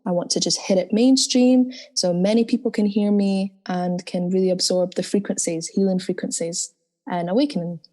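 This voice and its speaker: A woman singer speaking in an interview in a deep, soulful voice, earnest and spiritual in tone. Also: fairly even intonation, normal speed, dark tone. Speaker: guest singer. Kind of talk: reflective interview talk.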